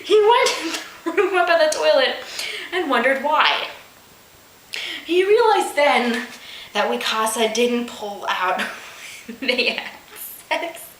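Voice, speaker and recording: A woman's voice: high-pitched, animated, slow pace, pauses often, fairly bright tone, reverberant, a little hiss or hum, poor audio. A woman hosting a comic read-aloud of amateur fan fiction, giggly, mock-dramatic and incredulous in her delivery.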